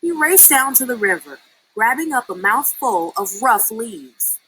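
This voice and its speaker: A woman reading a children's story aloud in an expressive storytelling style. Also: on the high side, unhurried, husky, rough recording. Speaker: story reader.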